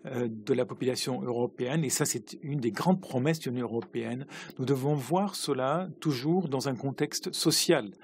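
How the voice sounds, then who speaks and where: A man doing simultaneous interpretation of parliamentary speeches, halting, with uneven pacing and frequent restarts. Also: medium pitch, breathy edge.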